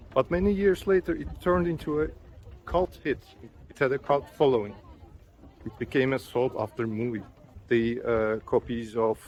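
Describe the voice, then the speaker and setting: Turkish man, travel vlogger, casual and rambling, mid-pitched voice, outdoors on an onboard mic. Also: slight background noise. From Turkey. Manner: chatty, with fillers, loose phrasing. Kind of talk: casual travel vlog narration. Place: outdoors at an open-air museum. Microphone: built-in camera mic.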